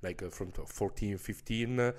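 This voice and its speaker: A man with a fairly low voice and a strong Italian accent talks casually in an interview. His English is halting as a native Italian speaker, and he often searches for words. He holds the microphone in his hand. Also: a little mellow. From Milan, Italy. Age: 30s. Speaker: podcast guest, American football player. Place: small furnished room indoors. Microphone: handheld microphone.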